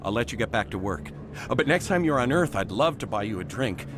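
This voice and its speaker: A man's voice: medium pitch, very animated, a little fast, close to an everyday voice. A man playing a starstruck, awkward fan in a video game, gushing and nervously hesitant. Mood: nervous, grateful. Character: starstruck, awkward male fan of a war hero. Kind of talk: video game character acting. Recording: clear background ambient noise.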